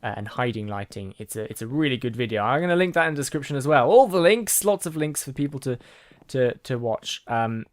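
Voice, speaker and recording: A man's voice: medium pitch, lively intonation, a little fast, no echo. A man hosting a gaming video, chatting casually as he builds, rambling with ums and restarts. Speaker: gaming channel host. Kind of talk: casual gameplay commentary.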